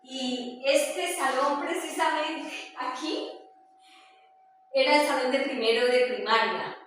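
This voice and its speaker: A woman with a Colombian accent giving an informal presentation, telling stories in a halting, conversational way. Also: high voice, fairly bright tone. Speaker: presenter sharing her hometown memories. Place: echoey indoor room with a small audience. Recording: very echoey room, distant mic, faint noise.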